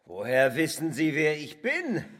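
Bitter crippled pawnbroker, breathy, swooping. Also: male voice, on the high side, wildly swooping pitch, fairly bright tone, heavily acted. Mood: defiant, bitter. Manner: theatrical, halting, self-mocking, often dropping to a whisper. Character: bitter crippled male pawnbroker, small as a child, self-loathing. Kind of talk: radio drama acting. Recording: long hall reverb.

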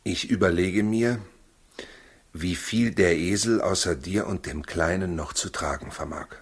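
A protective, determined husband in a 1960s radio play. His voice is deep and rough-grained. He speaks gravely, in a measured, urging way. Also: heavily acted. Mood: grave, cautious. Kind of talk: 1960s German radio drama acting.

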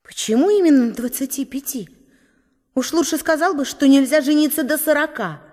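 A woman's voice: high voice, moderate pace, darkish tone, in a large hall, pitched above the performer's own voice, natural voice. A woman playing a naive young girl, meek but a little cheeky, in a radio drama. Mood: cheeky, inward grumbling.

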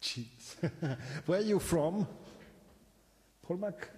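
A man of forty-nine with an Austrian accent, chatting casually and playfully in halting, repetitive English as a native German speaker. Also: a little high, expressive, darkish tone. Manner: playful, halting, repeats words. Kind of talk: casual chat with a stranger playing music. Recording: long reverb with faint music. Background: faint music being played.

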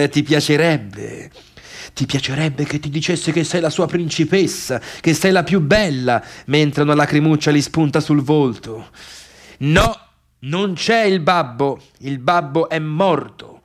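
Man acting a bitter, high-voiced failed pianist. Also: close to an everyday voice. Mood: mocking, bitter. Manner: scornful, sarcastic, brooding, swinging between muttering and outbursts. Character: bitter, obsessive failed pianist abandoned by his sister. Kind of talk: theatrical monologue for radio theatre.

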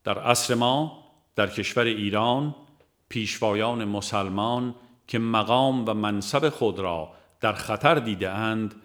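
Low-pitched man narrating an audiobook over music. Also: a bit bright. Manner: formal, measured reading. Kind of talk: scripted narration of a religious book.

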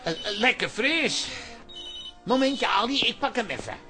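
Excitable barber in a radio comedy, high swoopy voice, quippy and fussy. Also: male voice, measured pace, over music, full character voice. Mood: chatty, concerned. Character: chatty, excitable barbershop man with dry wit. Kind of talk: radio comedy drama acting.

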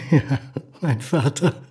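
An actor playing a lonely, eccentric grown son, fussy and singsong as if talking to himself, in a German radio play. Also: male voice, medium pitch, extremely slow, husky, exaggerated voice. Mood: evasive.

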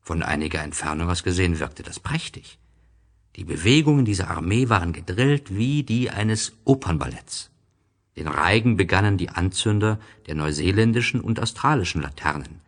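A man narrating a storybook from a script in an expressive, deliberate storytelling manner. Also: low voice.

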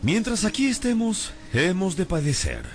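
An actor in a radio drama playing a proud, impoverished gentleman obsessed with honour, speaking in a grandiose, courtly manner. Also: high-pitched, huge pitch swings, moderate pace, soft background music, heavily acted. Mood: wistful, proud. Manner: grandiose, courtly, old-fashioned phrasing. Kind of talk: scripted radio drama acting.

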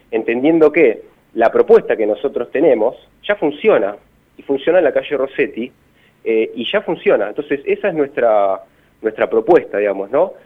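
A man speaks over a narrow, tinny phone connection with a Buenos Aires accent and a mid-pitched voice. He answers as an interviewed community activist, earnest and emphatic, circling back on phrases to stress them. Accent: Buenos Aires Argentine Spanish. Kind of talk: spontaneous radio interview answers. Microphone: phone call.

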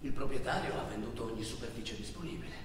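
A man's voice: medium pitch, background noise, natural voice. A man performing a traveller's vivid, dramatic narration in a theatre monologue. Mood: slow, dramatic. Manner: theatrical, swings from hushed slow phrases to agitated calls. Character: male traveller narrating a crowded desert truck journey, vivid, excitable. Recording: very echoey hall, distant, music behind. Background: music.

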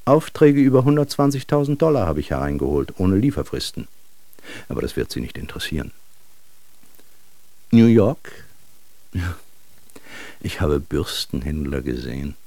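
A man's voice: a bass voice, very animated, normal speed, pauses often, warm tone, no echo, full character voice. A man acting in a literary German radio drama as a wry, world-weary travelling bristle salesman, narrating in a reflective way. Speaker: narrator and lead actor.

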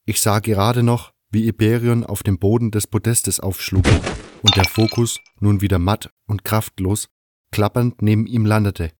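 A man's voice: lowish voice, steady tone, moderate pace, heavy background noise. A man reading scripted first-person narration for a fantasy audio drama.

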